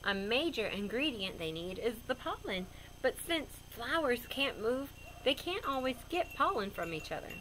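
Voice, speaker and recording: A woman reads a children's picture book in a fairly high, very animated voice. She explains things simply as she goes, in an expressive storyteller's style. There is some light noise in the recording. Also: moderate pace, rough recording. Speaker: read-aloud storyteller for children.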